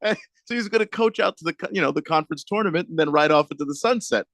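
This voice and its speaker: A man on a sports radio show, breaking down basketball teams in halting speech with many restarts and easy laughs. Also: fairly high pitch, a bit bright, no echo. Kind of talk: casual sports radio chat.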